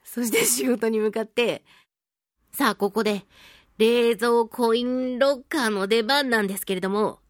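A woman's voice: fairly high pitch, normal speed, no room sound, clean studio sound. A woman hosting a talk show, telling a personal anecdote in a casual, playful and chatty way. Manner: animated, chatty storyteller with playful self-mockery. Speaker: host of a talk radio show, voice actress.

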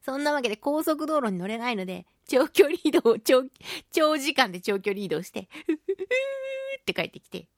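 High-voiced, animated woman chatting on radio. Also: normal speed, no room sound, studio quality. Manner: animated, giggly, exclamatory storytelling. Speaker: radio talk show host; voice actress. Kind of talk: chatty solo radio monologue about daily life.

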